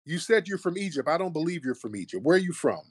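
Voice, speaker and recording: American guy running a call-in roast, cuts people off, keeps repeating himself, kinda high voice. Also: sing-song, fast talker, breathy edge, no echo. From United States. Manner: blunt, interrupting, repeats questions. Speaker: radio show host.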